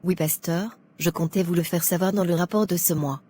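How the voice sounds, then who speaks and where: Woman acting a blunt, low-voiced judgmental churchwoman. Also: steady tone, brisk, mellow, a little hiss or hum, close to an everyday voice. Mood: matter-of-fact. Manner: outspoken, scolding.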